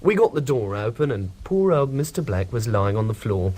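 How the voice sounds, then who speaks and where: An actor playing an obliging, gossipy office clerk in a radio drama, courteous and chatty with dry humour. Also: male voice, mid-range voice, sing-song, normal speed, warm tone.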